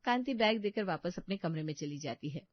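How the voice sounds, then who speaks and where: A woman's voice: on the low side, expressive, a little fast, no room sound, muffled recording. A woman narrating a scripted story as a storyteller.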